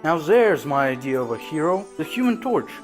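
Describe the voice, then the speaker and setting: Comic dub bully, male actor: high, animated voice, sneering young loudmouth, faint music behind. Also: normal speed. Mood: mocking. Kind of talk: comic book dub acting.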